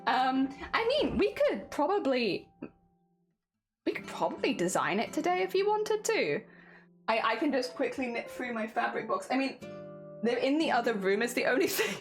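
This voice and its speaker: Wheezy woman streamer on a headset, high-pitched and animated, faint music behind. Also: moderate pace. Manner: chatty, rambling, laughs often. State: wheezy, voice strained. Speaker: crafting livestreamer. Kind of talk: casual chat to live chat.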